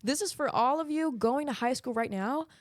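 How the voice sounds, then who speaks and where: Candid, animated young woman guest. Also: high-pitched, moderate pace, no room sound, studio quality. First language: Danish. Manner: candid and animated, laughing often. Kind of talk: casual interview.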